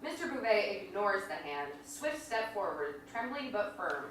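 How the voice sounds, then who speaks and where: A woman's voice: medium pitch, sing-song, measured pace, a bit bright. A woman reading scripted stage directions as narrator of a live staged reading. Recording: distant, very echoey room, faint noise.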